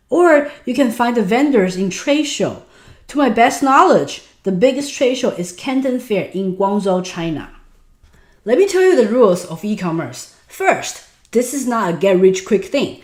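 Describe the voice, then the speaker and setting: A woman's voice: on the high side, reverberant. A woman in her thirties from Taiwan, native Mandarin speaker with a Taiwanese accent, earnestly presenting a webinar on online selling and asking viewers to respond in the chat. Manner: animated, earnest explaining, asks audience to type in chat. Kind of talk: online business webinar talk.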